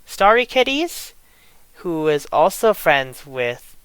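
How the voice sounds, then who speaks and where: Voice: medium pitch, big pitch swings, slow pace, fairly bright tone, clean tone, no echo, low-quality recording. An online creator of unclear sex delivering an unscripted, exasperated rant, often restarting and repeating phrases. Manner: indignant, exasperated. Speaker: online video creator venting grievances.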